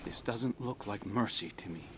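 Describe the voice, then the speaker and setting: A man's voice: on the low side, normal speed, background noise, close to an everyday voice. An actor playing an outspoken younger soldier with a conscience in a video game cutscene, earnest and challenging in his delivery. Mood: bitter, defiant.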